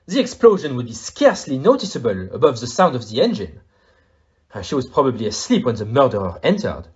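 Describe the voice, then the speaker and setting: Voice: mid-range voice, very animated, brisk, a little mellow, lo-fi sound, natural voice. A performer in an audio play acting a fussy, courteous, astute male detective with a French accent and formal, exclamatory manner. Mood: analytical, precise.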